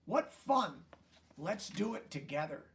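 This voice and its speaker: A man voicing a small, curious, excited young male pollywog in a storybook, with eager, bubbly questions. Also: high voice, slow pace, slight background noise, exaggerated voice.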